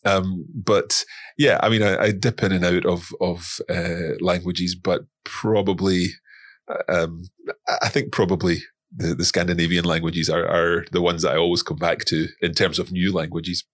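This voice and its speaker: A man's voice: sits low, level tone, moderate pace, no room sound. A man, a guest on a podcast, talking casually and often repeating words as he searches for them. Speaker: podcast guest, language course creator. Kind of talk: casual interview talk.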